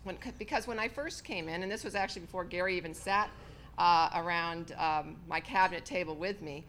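A woman's voice: fairly low pitch, brisk, a bit bright, a little hiss or hum. A middle-aged woman with a big, strong voice giving a gracious speech to a luncheon audience in a hotel banquet room. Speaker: county official giving a keynote.

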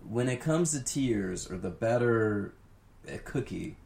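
A young man with a low, gravelly voice argues his strong opinions on a casual podcast, padding his sentences with "like". His voice bounces around an echoey room over faint background noise. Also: animated, a little slow, darkish tone. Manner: opinionated, hedging with "like". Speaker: podcast co-host. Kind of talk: casual chat.

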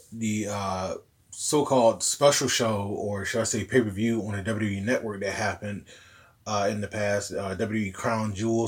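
A man with a hoarse, recovering voice hosting a casual solo podcast, rambling along in a laid-back way. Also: lowish voice. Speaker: podcast host.

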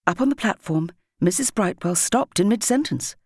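A woman's voice: mid-range voice, expressive, normal speed, darkish tone, dry recording, clean studio sound. A woman with a British accent reading a scripted story expressively as an audiobook narrator.

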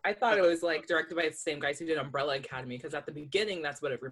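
Podcast co-host, mid-pitched, playful, laughs a lot. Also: fairly bright tone, breathy edge. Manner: laughs often, quick playful reactions. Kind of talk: casual movie review chat.

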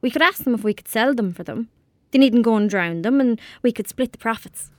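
A woman's voice: on the high side, animated, brisk, no echo, natural voice. Woman playing a defiant, dreamy young Irish girl. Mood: earnest. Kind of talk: audio drama acting.

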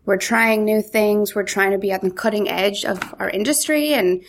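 A woman's voice: medium pitch, a little hiss or hum. A woman from Ireland with a neutralized accent, answering interview questions in an upbeat, chatty way. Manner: chatty, upbeat, quick with a laugh. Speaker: interview guest, content marketing lead.

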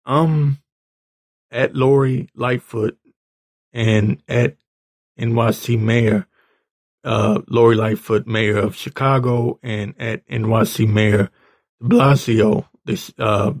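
A man delivers an informal, exasperated commentary in a hoarse, gravelly voice. His pace is slow and halting, with a medium pitch. He speaks bluntly, with a rasp throughout. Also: a little mellow. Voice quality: raspy. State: hoarse, clearing his throat. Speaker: political commentator reading tweets and articles. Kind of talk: informal opinion rant.

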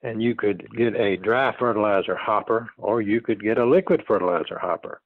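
An elderly man in his eighties talks in a low voice with a dry, close sound. He is folksy and halting, often doubling back on words. The delivery is that of someone reminiscing in a relaxed interview. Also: no room sound, narrow, tinny audio. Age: 80s. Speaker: interviewee, retired farm machinery dealer.

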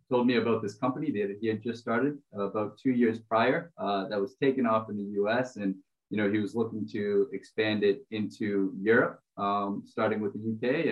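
A man's voice: lowish voice, dull audio. A man in his thirties from Brazil with an American accent, a podcast guest talking casually with lots of ums and uhs. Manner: relaxed, many filler ums and uhs. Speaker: podcast guest, finance executive. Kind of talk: casual interview chat.

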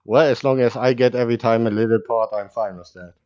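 A man's voice: mid-range voice, fast, darkish tone, dull audio. A man hosting a casual podcast interview, easygoing, laughing along and teasing his guest. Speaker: podcast host.

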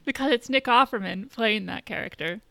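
A woman co-hosting a podcast, explaining a TV show in casual chat, haltingly, repeating words and saying "you know". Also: on the high side, vocal fry, fairly bright tone, no room sound. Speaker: podcast co-host.

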